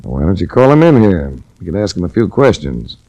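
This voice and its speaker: A man's voice: low-pitched, big pitch swings, a little fast, mellow, dry recording, muffled recording, heavily acted. An actor playing a dry, sardonic male insurance investigator who narrates a 1940s radio drama. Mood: wry. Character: wry hard-boiled male insurance investigator. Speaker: detective narrator. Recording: restored old radio broadcast.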